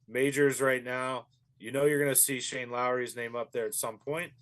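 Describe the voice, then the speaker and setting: A man's voice: medium pitch, steady tone, moderate pace, a bit bright. A man, a golf professional, giving easygoing and thoughtful analysis as an interview guest. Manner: easygoing and thoughtful, with frequent fillers.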